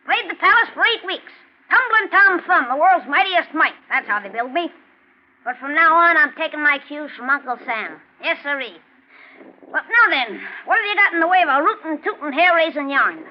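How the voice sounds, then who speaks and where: A woman's voice: high voice, lively intonation, normal speed. A performer, a woman, playing a brash, friendly little male vaudeville acrobat with breezy slang in a 1940s radio drama. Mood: cocky, breezy. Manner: breezy, slangy showman patter. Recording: muffled, noisy 1940s radio recording.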